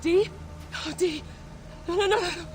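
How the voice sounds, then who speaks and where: Headstrong, high-voiced young woman. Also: moderate pace, loud music behind the voice. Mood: alarmed. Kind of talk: dramatic game cutscene acting.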